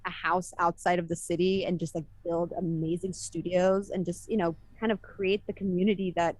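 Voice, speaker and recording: Lowish-voiced chatty woman composer. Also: flat delivery, normal speed, darkish tone, no echo, poor audio. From Italy. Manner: chatty, many fillers and self-corrections. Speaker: guest, film composer. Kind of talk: casual interview answers.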